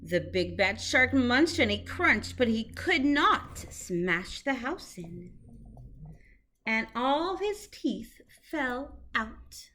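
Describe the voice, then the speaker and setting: A woman teacher reading a children's picture book aloud in an expressive storytelling manner. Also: a little high, extremely slow, slight background noise.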